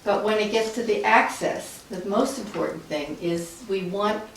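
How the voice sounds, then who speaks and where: A woman's voice: mid-range voice, moderate pace, far from the mic. A woman with a mid-range voice speaks as a resident at a public meeting. The room echoes heavily, and she sounds away from the mic with faint noise behind. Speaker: resident property owner.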